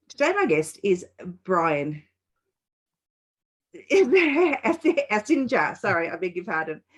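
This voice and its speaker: A woman hosts a business podcast and presents in a polished, upbeat manner. Her voice is a little lower than most. Faint music plays softly behind her. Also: slightly rough. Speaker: podcast host. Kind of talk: scripted podcast intro and interview.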